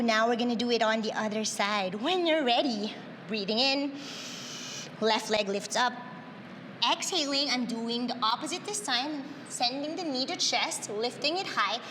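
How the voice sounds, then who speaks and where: A woman's voice: on the high side, a bit bright, reverberant, big-room sound, a little hiss or hum. A woman whose first language is Tagalog, leading a yoga class, cueing breaths in an upbeat way with frequent cheers. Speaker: yoga instructor. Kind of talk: guided yoga class instruction.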